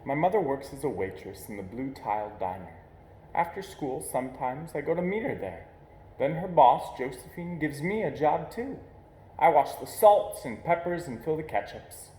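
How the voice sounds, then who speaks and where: Higher-voiced man reading a storybook, roomy echo. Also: expressive, moderate pace, mellow, slight background noise. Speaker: reader of a children's picture book. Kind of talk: storybook read-aloud, expressive narration. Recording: echoey, roomy sound.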